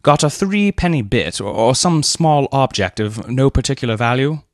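A man voicing a pompous young gentleman who stammers when nervous, pitched above his own voice. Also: expressive, moderate pace, dry recording, clean studio sound, close to an everyday voice. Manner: stammering, solemn.

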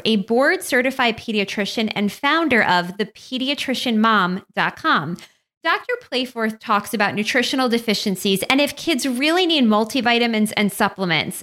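A woman's voice: fairly high pitch, animated, moderate pace, fairly bright tone. A woman hosting a parenting podcast, reading a scripted introduction in a friendly, conversational way and then interviewing a guest. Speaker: podcast host.

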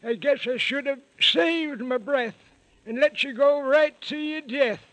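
Touchy little old hermit, very high voice, slow backwoods old-timer talk, old radio drama. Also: male voice, a bit bright, dry recording. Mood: indignant. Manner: rustic old-timer talk with folksy exclamations. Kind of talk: 1930s radio serial acting in rhyme.

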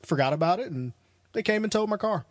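A man's voice: sits high, animated, fast, dry recording, clean studio sound. A man hosting an interview podcast in a casual, informal, chatty way, acting out quotes as he talks. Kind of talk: casual chat.

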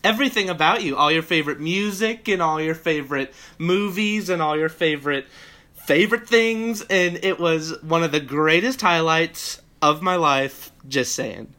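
A man's voice: on the high side, normal speed, bright, forceful tone. A man co-hosting a podcast, chatting casually with a dry delivery that trails off. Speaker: podcast co-host.

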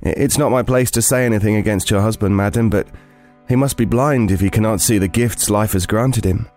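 An actor playing an uneasy homeless man who narrates his horror tale in a halting, brooding way. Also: on the low side, darkish tone, lightly acted. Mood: fearful, hesitant. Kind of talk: dramatic first-person horror narration. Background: music.

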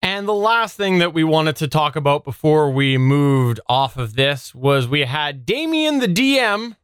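A man's voice: a little high, big pitch swings, measured pace, a bit bright, clean tone, no echo, studio quality. A man hosting a podcast in a casual, conversational style, moving through segments and listener feedback. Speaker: podcast host.

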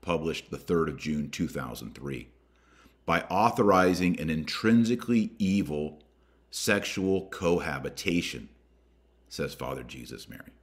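A man hosting a podcast, reading a document aloud with emphatic, deliberate delivery and adding his own commentary. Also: a bass voice, expressive. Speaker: podcast host.

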